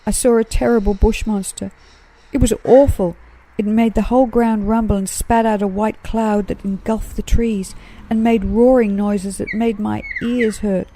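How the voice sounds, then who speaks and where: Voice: medium pitch, fairly even intonation, moderate pace, dark tone, pitched above the performer's own voice, close to an everyday voice. A storybook narrator voicing a frightened, adventurous young male koala with an urgent, exclaiming manner. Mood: terrified.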